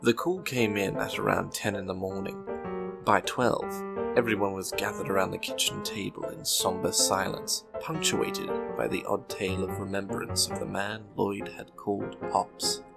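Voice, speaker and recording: A man's voice: on the low side, level tone, unhurried, gravelly, loud music behind the voice. A man presenting a story podcast and narrating short fiction from a script. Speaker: podcast host and story reader.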